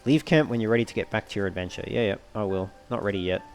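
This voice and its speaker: Low-voiced man giving game commentary. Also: fast talker, a little mellow, soft background music, rough recording. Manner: thinks aloud while reading menus. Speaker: let's player. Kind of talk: casual gameplay walkthrough commentary.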